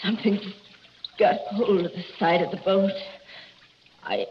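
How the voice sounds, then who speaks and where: An actress playing a bold, skeptical socialite, brisk and blunt, in a 1940s radio drama. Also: female voice, mid-range voice, steady tone, lightly acted. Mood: alarmed. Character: bold, skeptical, excitement-seeking brunette socialite. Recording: muffled, faintly noisy 1940s radio recording.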